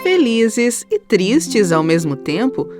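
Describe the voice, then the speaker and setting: A woman's voice: on the high side, big pitch swings, takes it slowly. A woman with a Brazilian accent narrating a scripted children's story. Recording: music under the voice.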